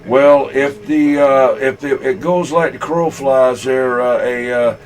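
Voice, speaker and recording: A man with a Southern US accent telling folksy, halting, rambling stories in casual talk over CB radio. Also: medium pitch, fairly even intonation. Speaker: CB radio operator.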